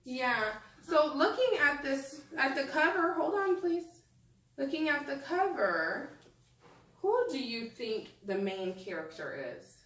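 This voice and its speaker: A woman teacher reads to young children in an echoey room, recorded from some distance. Her voice is fairly high and very animated in pitch, and she takes her time, stopping to pose questions as she goes. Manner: prompting listeners with questions, measured reading. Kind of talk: picture-book read-aloud with think-aloud questions.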